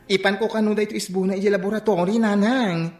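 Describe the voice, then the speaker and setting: A woman's voice: mid-range voice, steady tone, fast talker, darkish tone, echoey room, faint music behind, close to an everyday voice. A woman playing a dutiful, practical grown family member in a radio drama. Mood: concerned, brisk.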